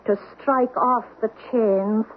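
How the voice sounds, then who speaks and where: A woman's voice: a little high, fairly even intonation, takes it slowly, a little hiss or hum. An actress playing a fey, insistent Irishwoman with an Irish accent in an eerie radio drama. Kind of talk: 1970s radio drama acting. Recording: muffled narrow old radio broadcast.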